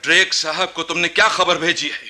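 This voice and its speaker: A man's voice: on the high side, fairly even intonation, brisk, bright, forceful tone. A man playing a proud, wrathful young Indian ruler in a radio drama, speaking with imperious, accusing, formal courtly diction. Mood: stern anger. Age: young adult. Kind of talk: theatrical Hindustani radio drama acting.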